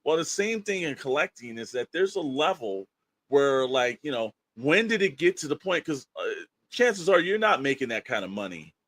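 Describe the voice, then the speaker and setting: A man's voice: fairly high pitch, big pitch swings, normal speed, a bit bright, no echo. Man podcast host with dry, close sound. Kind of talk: casual opinionated podcast chat.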